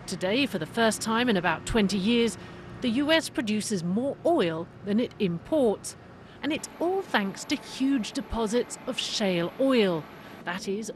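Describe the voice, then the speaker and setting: A woman presenting a television business programme in a clear, measured, emphatic broadcast style. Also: mid-range voice, slight background noise. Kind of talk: broadcast presenting, scripted narration and panel introductions.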